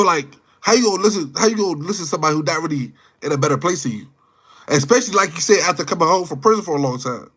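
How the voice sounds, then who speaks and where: Male livestreamer, mid-twenties, high-pitched voice, off-the-cuff slangy talk that loops back on itself. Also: a bit bright, breathy edge. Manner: slangy, rambling, repeats phrases and words. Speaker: livestream host chatting with viewers. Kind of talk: casual live chat, off-the-cuff opinion.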